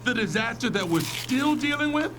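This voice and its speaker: Man doing bossy scolding dad in audio drama, high animated voice, noisy background. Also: moderate pace. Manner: scolding, emphatic. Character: stern, controlling husband and father of grown children.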